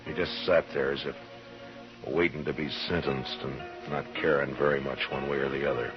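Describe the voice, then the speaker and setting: Deep-voiced steady frontier marshal. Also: male voice, over music. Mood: somber narration. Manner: measured, grave, plainspoken. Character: steady, humane but firm frontier marshal. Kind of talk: old-time radio western acting and narration. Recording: muffled old radio recording.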